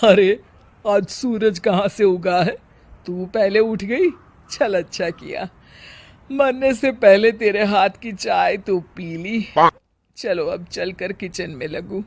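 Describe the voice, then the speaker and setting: A woman's voice: medium pitch, slow pace, vocal fry, a little mellow. An actor playing a weary, scolding elderly mother-in-law in a family story, grumbling and sardonic. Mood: sardonic, surprised. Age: older. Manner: grumbling, sardonic, plain-spoken.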